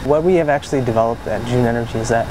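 A young man, at a middle pitch, explains things eagerly. Steady background noise from the office fills the recording. He speaks in a lab office. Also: racing. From Bangladesh. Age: young adult. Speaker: engineering student, start-up founder. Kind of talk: interview answers.

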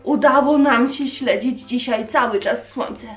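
A woman's voice: high voice, unhurried. A woman presenting a religious show for young children, telling stories in simple words and addressing them directly. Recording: roomy echo, music behind.

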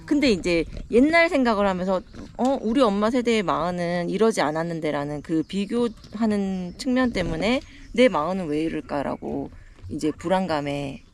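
A woman in her forties, talking slowly and thoughtfully with her husband during a mountain hike. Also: lowish voice, animated, slight background noise. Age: 40s. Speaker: vlogger. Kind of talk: casual chat while hiking. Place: mountain trail outdoors.

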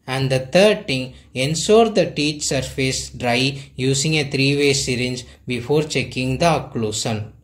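A man's voice: medium pitch, a bit bright. A man teaching a dental technique in an instructional voice-over, explaining step by step in an earnest, plain way. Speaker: dentist teaching clinical technique.

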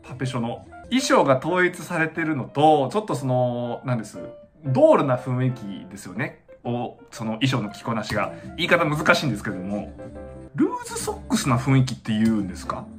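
Young guy doing casual reaction commentary, super excitable, voice jumps all over, some music behind him. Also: medium pitch, brisk. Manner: excitable, exclaiming in awe. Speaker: dance reaction channel host. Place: small indoor room at home.

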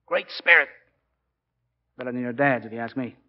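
Man playing a football coach in his forties, fatherly, stubborn, measured plain speech, mid-pitched, 1940s radio drama, dull vintage recording. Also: lightly acted. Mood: kindly, frank. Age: 40s.

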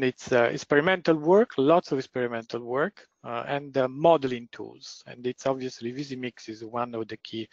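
A male process engineer giving a webinar presentation in a loud voice, pausing often with fillers and restarts. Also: medium pitch, vocal fry, no echo, lo-fi sound. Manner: hesitant, many fillers and restarts. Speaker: guest lecturer, process engineer.

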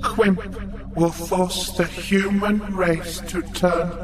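An actor playing a monstrous alien cactus overlord in a sci-fi comedy, menacing and grandiose, with a portentous, imperious delivery. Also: male voice, sits high, fairly even intonation, extremely slow, roomy sound, music in the background. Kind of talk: sci-fi comedy villain acting.

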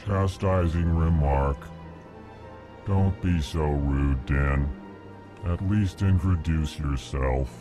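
An actor playing a stiff alien diplomat who talks in slow, measured, scolding phrases, over game music. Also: male voice, very deep voice. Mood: reproachful. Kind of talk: video game voice acting. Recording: game audio with background music.

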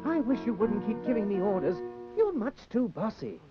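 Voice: mid-range voice, sing-song, normal speed, lightly acted. A performer playing a hapless, apologetic young man, earnest and plaintive, in a 1960s children's puppet show. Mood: weary complaint. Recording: muffled 1960s TV soundtrack with music.

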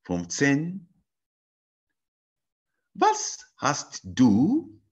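Man from zambia, language teacher, husky voice, very slow delivery, lively pitch, dull lo-fi webcam audio. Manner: very slow, deliberate reading with fillers. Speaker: German teacher. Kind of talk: reading a practice dialogue for learners.

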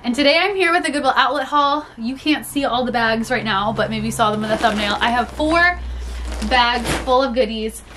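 A woman's voice: fairly high pitch, moderate pace, a bit bright, slight background noise. A young woman, a little congested from a cold, chatting casually and upbeat as she shows off a thrift haul at home. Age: young adult. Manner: chatty, upbeat, frequent "like" and laughs. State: slightly congested. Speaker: reseller vlogger. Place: small home room with storage bins, indoors.

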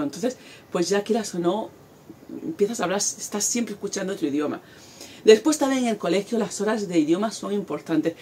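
A woman's voice: medium pitch, darkish tone, slight background noise. A woman in her forties from Spain with a Spanish accent, talking animatedly to camera outdoors in a garden and gesturing as she speaks. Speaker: vlogger. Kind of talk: casual talk to camera.

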